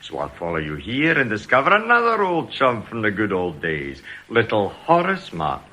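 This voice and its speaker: An older actor playing a sardonic retired police detective in a radio drama, with a jovially menacing, mocking manner. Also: male voice, fairly low pitch, wildly swooping pitch, moderate pace, bright, forceful tone, heavily acted. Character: sardonic retired police detective, now company security officer.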